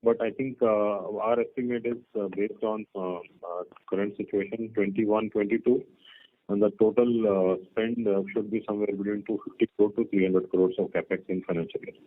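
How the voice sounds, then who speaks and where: Low-voiced Indian man on a phone line. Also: fairly even intonation. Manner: halting, explanatory. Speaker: company executive answering questions. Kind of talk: earnings call question and answer. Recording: muffled narrow telephone conference line.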